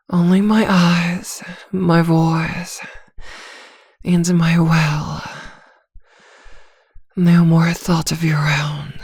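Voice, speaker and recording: A woman voicing a seductive, dominant vampire in a soft, breathy, teasing ASMR roleplay, coaxing hypnotically close to the mic. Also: fairly low pitch, no room sound, full character voice. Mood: seductive, commanding. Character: seductive, dominant female vampire posing as a therapist. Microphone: close microphone, very dry.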